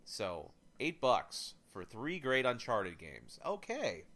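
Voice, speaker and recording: A man co-hosting a casual gaming podcast, rambling excitedly and thinking out loud as he adds up prices. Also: mid-range voice, slow. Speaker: podcast co-host.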